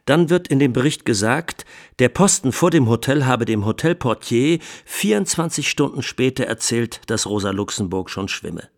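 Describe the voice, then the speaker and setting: A man acts out an indignant trial lawyer in a radio play. He has a mid-pitched voice and argues formally and incisively, leaning on rhetorical emphasis as he addresses the court. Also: lightly acted. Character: male trial lawyer, indignant and incisive, arguing before judges. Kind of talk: courtroom plea in a radio drama.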